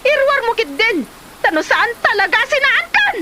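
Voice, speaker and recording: A woman's voice: very high voice, sing-song, moderate pace. A woman playing a distraught, fretful wife and mother in a comedy drama, plaintive and exclamatory, with a Filipino accent. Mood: frantic, accusing. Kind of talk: Ilocano comedy drama acting. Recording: faint-to-clear noise, rain-like ambience.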